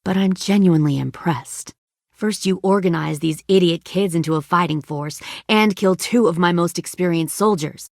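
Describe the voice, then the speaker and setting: A woman's voice: on the low side, normal speed, creaky, dry recording, studio quality. A woman playing a cold, menacing middle-aged commander of armed survivors in a dramatic video game. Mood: coolly threatening.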